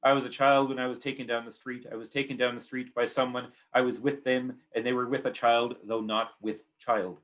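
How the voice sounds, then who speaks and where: A man's voice: mid-range voice, flat delivery, a little fast, hardly any pauses, a bit bright. A man with a Canadian accent giving a poetry reading of his own work in short, clipped, repeating phrases.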